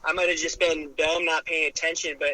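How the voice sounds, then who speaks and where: Bright laid-back man in his twenties. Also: fairly high pitch, flat delivery, a little fast, rough recording. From Arizona. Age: 20s. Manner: laid-back, says dude and man constantly. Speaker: guest, police officer. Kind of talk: casual chat.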